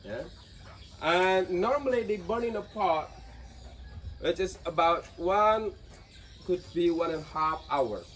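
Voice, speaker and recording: A man's voice: sits high, expressive, slow, long pauses, fairly bright tone. A man with an Indonesian accent, a local tour guide who casually explains pottery making to tourists, joking and apologizing. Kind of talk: guiding tourists, explaining a craft. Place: outdoors in a pottery village. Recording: distant outdoor camera sound, rooster noise. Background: roosters and village ambience.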